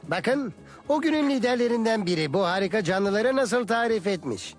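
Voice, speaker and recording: An actor playing a kindly elderly teacher who explains history to children in a dubbed educational cartoon. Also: male voice, sits high, lively intonation, moderate pace. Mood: patient, instructive. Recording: loud music behind the voice.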